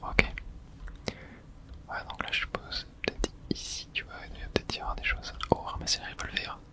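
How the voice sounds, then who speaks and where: Whispered ASMR gamer commentary. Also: normal speed. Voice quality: breathy unvoiced whisper. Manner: informal, mild swearing, muttering reactions to the game. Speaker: gamer streaming playthrough for viewers. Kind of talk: whispered ASMR video game let's play commentary.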